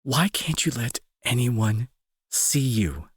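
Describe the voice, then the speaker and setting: Guy acting as a young bully in an audio drama, husky voice, super swingy pitch, gruff but protective, pleading, close dry mic. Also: sing-song, no echo, full character voice. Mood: earnest, pressing. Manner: intense, halting, pleading.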